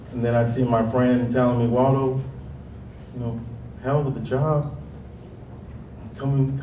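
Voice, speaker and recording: Deep-voiced man speaking slowly and haltingly. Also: darkish tone, reverberant, far from the mic, slight background noise, low-quality recording. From Stockton, California. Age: 30s. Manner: hesitant, many ums and pauses. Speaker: panel speaker. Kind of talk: nervous personal testimony. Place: large hall.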